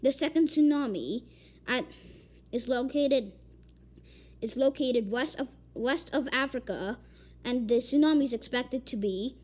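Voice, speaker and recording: A woman reading a made-up emergency alert, halting and stumbling over repeated words. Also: sits high. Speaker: amateur emergency alert announcer. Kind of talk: improvised emergency broadcast reading.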